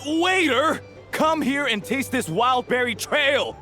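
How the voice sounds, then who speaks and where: A pompous, outraged diner in an animated game. He is high-pitched and piercingly bright, with a haughty, demanding delivery over music. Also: slow pace, full character voice. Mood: outraged, indignant. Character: pompous, outraged, fussy restaurant customer.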